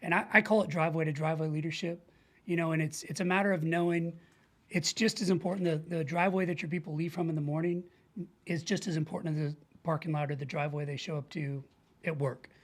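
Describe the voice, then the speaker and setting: A man's voice: fairly high pitch, fairly even intonation, quick pace. A middle-aged American man speaking earnestly and informally as a coach being interviewed, often illustrating with examples. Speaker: podcast guest, leadership coach. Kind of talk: casual conversational interview.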